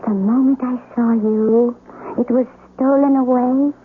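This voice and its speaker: An actress playing a tender, sorrowful young Japanese noblewoman in love, in a hushed 1970s radio drama style. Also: on the high side, fairly even intonation, unhurried, husky. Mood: lovelorn, tender. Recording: muffled old radio broadcast, music behind.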